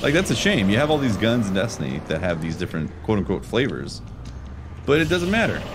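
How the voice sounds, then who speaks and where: A man doing casual live gameplay commentary, chatting with viewers in a slangy, animated way as he plays. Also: on the low side, a little fast, background noise. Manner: animated, reacts out loud while playing. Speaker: game streamer.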